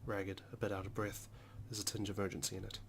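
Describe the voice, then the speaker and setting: A man's voice: fairly low pitch, flat delivery, fast talker, a little hiss or hum. A man hosting a let's play, reading visual novel narration aloud in a dramatic storytelling style. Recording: music clearly under the voice.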